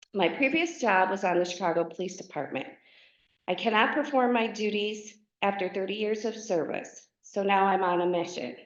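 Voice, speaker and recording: A woman in her fifties giving a soft-spoken, halting opening statement as a board candidate at a meeting. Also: mid-range voice. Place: meeting hall. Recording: roomy, dull meeting recording.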